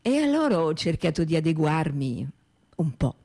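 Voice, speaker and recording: A woman's voice: low-pitched, sing-song, normal speed, mellow. A woman with a French accent reading theatrical script as actress and narrator.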